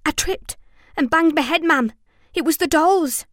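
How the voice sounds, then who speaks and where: A frightened young storybook girl, voiced by a woman, high and urgent. She pleads tensely, her words pressing forward in a close, dry recording. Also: lively intonation, a little slow, a bit bright, dry recording, exaggerated voice. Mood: pleading.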